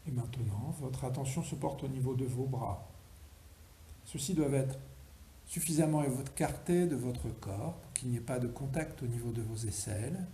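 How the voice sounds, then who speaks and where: A man with a deeply mellow, relaxed tone leads a yoga nidra session. He gives instructions slowly and deliberately, leaving space between phrases. The recording is noticeably roomy, with faint noise underneath. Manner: slow, deliberate, instructing with pauses. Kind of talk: guided relaxation meditation.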